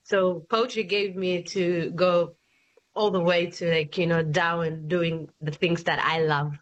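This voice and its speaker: A woman's voice: fairly low pitch, level tone, measured pace. Woman, from Somalia, poet guest, hesitant fillers, slightly dull recording. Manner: hesitant, many fillers, laughs often. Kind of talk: casual interview chat.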